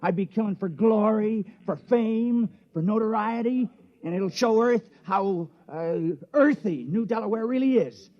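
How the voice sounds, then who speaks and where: An actor playing an earnest, gentle villager who tries to pass as a criminal, folksy, hesitant and apologetic, in old radio drama style. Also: male voice, high-pitched, a little slow. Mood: earnest, eager. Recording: dull, band-limited old radio recording.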